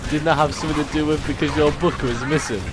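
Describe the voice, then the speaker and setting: A man's voice: fairly high pitch, steady tone, fast. A young British man telling anecdotes in casual, slangy, bantering chat with a friend. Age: young adult. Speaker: video host telling stories. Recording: music behind the voice. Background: music.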